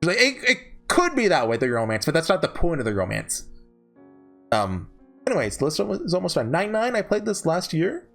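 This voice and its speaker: Casual male video host, lively swooping intonation, hesitant self-correcting delivery, music behind. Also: fairly high pitch, brisk, gravelly. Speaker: video host reviewing visual novels. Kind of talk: casual rambling commentary.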